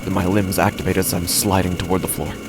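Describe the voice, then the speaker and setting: Guy acting deep voiced anxious office worker losing it, halting intense horror podcast monologue, first person. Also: level tone, background noise, close to an everyday voice. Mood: frantic. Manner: halting, intense delivery in short broken phrases. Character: anxious, unraveling male office worker narrating his horror.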